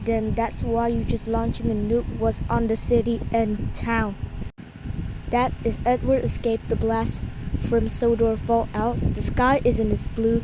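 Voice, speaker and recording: A woman improvising a narration for an emergency alert scenario, talking in a halting, repetitive way and often restarting her sentences. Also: a little high, noisy background. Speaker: video creator.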